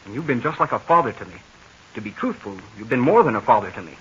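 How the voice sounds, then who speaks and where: A man's voice: mid-range voice, expressive, quick pace, bright, forceful tone. An eager teenage boy sidekick. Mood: heartfelt. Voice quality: eager, wide-eyed exclamations. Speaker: boy sidekick in a radio serial. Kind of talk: 1940s radio acting. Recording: hissy, muffled 1940s radio transcription.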